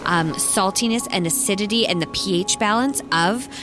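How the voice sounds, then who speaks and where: A woman's voice: mid-range voice, normal speed, natural voice. A woman playing an earnest, nurturing hippie mom herbalist in a comedic audio drama, gushing and soothing. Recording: music behind the voice.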